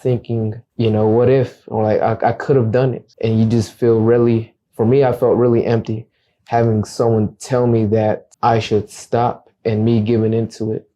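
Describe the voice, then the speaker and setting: A man's voice: medium pitch, level tone, normal speed, warm tone. A young man whose first language is Acateco, speaking earnestly as a guest realtor in a casual interview and often repeating words as he starts a thought. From United States.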